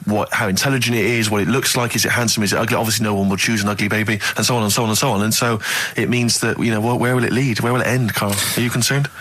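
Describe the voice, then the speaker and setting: A man's voice: fairly low pitch, fairly even intonation, racing, hardly any pauses, fairly bright tone, poor audio. A man with a West Country English accent co-hosting a radio comedy show, wry and articulate, piling up repeated phrases. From West Country of England. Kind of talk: casual radio banter and presenting.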